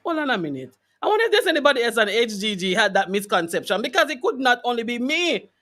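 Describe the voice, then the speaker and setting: A woman with a Jamaican accent hosting a radio talk, colloquial and animated, putting questions to her guest in a conversational way. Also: a little high, fast talker. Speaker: radio host interviewing a guest.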